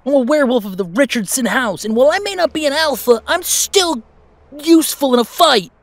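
A man puts on a young male werewolf, eager and anxious. The voice is pitched high and lively, insistent and pleading, in audio drama style. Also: a little fast, no echo, heavily acted.

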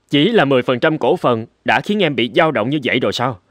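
A man playing a calm, principled young husband in an animated drama, speaking in a measured, reassuring way. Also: medium pitch, dry recording, studio quality, lightly acted. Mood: calm, explaining. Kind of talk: Vietnamese animated drama dubbing. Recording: loud music behind the voice.

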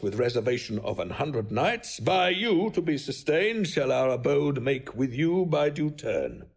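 Gravelly, volatile old king. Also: mid-range voice, darkish tone, heavily acted. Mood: regal, solemn. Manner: declamatory, grand, commanding. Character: proud, wrathful old king, imperious and volatile. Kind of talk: classical Shakespearean audio drama acting.

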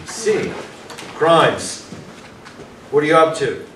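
A man plays an officious young detective in a stage reading. He is insistent and easily exasperated, with deliberate pacing. He is heard from far off in an echoey room. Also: fairly high pitch, very animated, fairly bright tone, full character voice. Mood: stern. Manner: commanding, insistent questioning. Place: small performance room with audience, far camera. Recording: distant, echoey room recording with noise.

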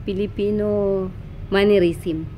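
A woman interviewing someone in a casual way, asking short questions and adding quiet backchannels. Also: mid-range voice, steady tone, slow pace, a little hiss or hum, low-quality recording. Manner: short prompts and murmured backchannels.

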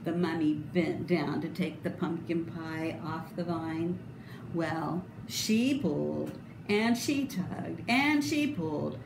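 A middle-aged woman reading a children's picture book aloud expressively, with deliberate, dramatic emphasis. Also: low-pitched. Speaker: storybook reader. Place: indoor room, voice a little echoey. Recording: roomy echo, clear background noise.

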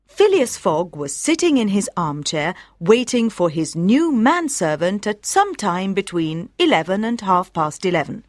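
Woman narrator, scripted storytelling, unhurried, careful phrasing, animated pitch, dry close sound. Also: no room sound. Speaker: audiobook narrator.

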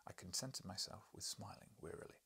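Man, thirties, audiobook narration, low pitch, husky, literary storytelling. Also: brisk, pushed and bright, whispered. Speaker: audiobook reader. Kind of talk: literary narration read aloud. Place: small book-lined living room, indoors.

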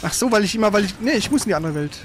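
A man's voice: high-pitched, expressive, rapid-fire. A man giving casual, colloquial live commentary as he plays a video game, muttering his reactions. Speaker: gaming streamer, player. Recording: noisy stream with game sound behind.

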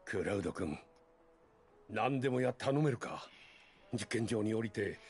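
An actor playing a gruff, middle-aged male vigilante leader in a video game, speaking in a firm, earnest way. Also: lowish voice, lively intonation, a little fast, a little mellow, faint music behind. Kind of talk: Japanese video game voice acting.

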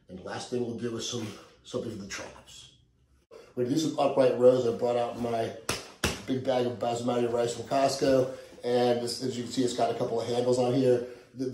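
A middle-aged man, a fitness coach, casually talking through a home workout in a relaxed, easygoing way, recorded by a camera a few meters away in a living room. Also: medium pitch, echoey room, slight background noise, low-quality recording.

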